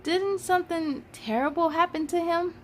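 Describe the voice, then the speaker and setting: Woman playing a curious storybook pupil around twelve, high voice, eager and wondering, slight background noise. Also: normal speed. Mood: curious, impressed. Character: curious schoolchildren of about twelve, eager and impressed. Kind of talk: children's storybook dialogue.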